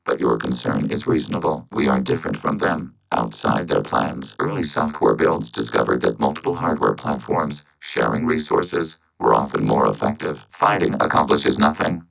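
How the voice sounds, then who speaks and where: An actor playing a calm, logical machine intelligence in a video game, speaking in a measured, formal, precise way with robotic processing on his voice. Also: rumbling low, creaky, heavily acted, rough recording. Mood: flat, analytical. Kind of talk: scripted video game character acting.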